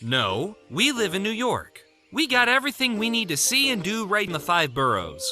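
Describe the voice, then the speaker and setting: A man's voice: sits high, wildly swooping pitch, moderate pace, music in the background. A man playing a whiny, argumentative, money-minded ghost hunter in a comedic audio drama. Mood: grumbling.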